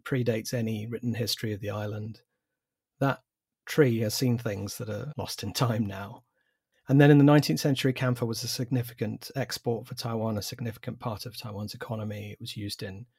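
English guy in his 40s, low gravelly voice, kinda husky, talks carefully w/ ums. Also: steady tone, darkish tone, no room sound, studio quality. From England. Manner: measured, thoughtful, with fillers and restarts. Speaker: publisher, guest. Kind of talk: interview answers.

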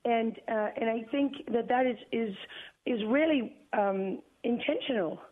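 Middle-aged woman from South Africa, guest journalist on a dry, tinny phone line; emphatic, halting delivery. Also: fairly high pitch, no echo. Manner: deliberate, emphatic, with many fillers and repeated words. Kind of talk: phone-in interview.

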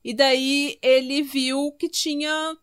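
A woman with a fairly high voice and a Brazilian Portuguese accent hosts a podcast. She tells a true-crime story in an informal way, chatting to friends and wandering into asides. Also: little pitch movement, takes it slowly. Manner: informal, conversational, full of asides. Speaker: podcast host.

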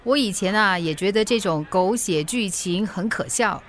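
A woman's voice: medium pitch, moderate pace, a bit bright, lightly acted. An actress in a radio drama playing a stubborn, troubled woman, with a Singaporean accent. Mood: wistful, tipsy. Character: stubborn tipsy woman hiding her serious illness.

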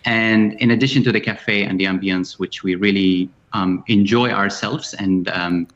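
A man who founded a cafe, speaking as a radio guest in a measured way with many fillers. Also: fairly low pitch, fairly even intonation, fairly bright tone, highs cut off. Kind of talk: radio interview.

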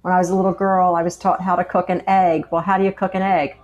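A woman's voice: on the low side, little pitch movement, quick pace, darkish tone, highs cut off. A woman from Pennsylvania, a guest on a faith show, telling her life story in an earnest, anecdotal way and often restarting her sentences. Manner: earnest, anecdotal, repeats words as she restarts. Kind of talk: personal testimony in conversation.

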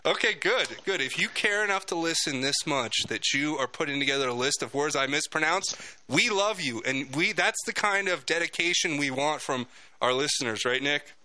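A man's voice: fairly high pitch, animated, moderate pace, sharp tone, rough recording. A man hosting a talk radio show, chatting casually with rambling, jokey asides.

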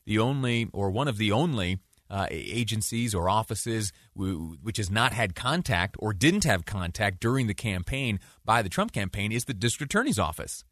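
A man hosting a talk show, giving conversational news commentary in a deliberate way with rhetorical questions and emphatic repetition. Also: on the low side, very animated, a bit bright, no room sound, studio quality.

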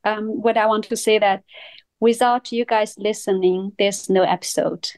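A woman's voice: medium pitch, steady tone, normal speed, a little mellow, dry recording. A woman co-hosting a podcast in English, which is not her first language, speaking earnestly in simple phrasing. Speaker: podcast co-host. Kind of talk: casual podcast chat.

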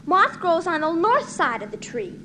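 Very high, bright precocious little girl. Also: big pitch swings, moderate pace, heavily acted. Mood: prim. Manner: prim, know-it-all. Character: prim, precocious little girl quoting her teacher. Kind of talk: 1950s radio comedy acting. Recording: faintly noisy 1950s radio recording.

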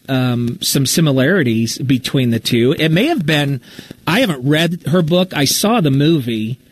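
A man answering questions as a podcast storyteller in a casual live interview, candid and reflective as he thinks aloud. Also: fairly high pitch. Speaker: writer and storyteller of a fiction podcast, being interviewed.

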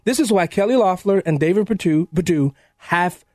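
Animated man with a dry close sound. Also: high voice, moderate pace, no echo. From Illinois. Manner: animated, stammers on restarts, jokes. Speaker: political talk show panelist. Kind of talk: casual political discussion.

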